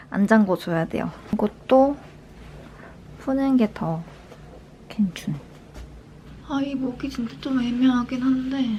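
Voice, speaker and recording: A young adult woman from South Korea chats casually as a lifestyle vlogger during her daily routine, soft-spoken and unhurried. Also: a little high. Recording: clear background room noise.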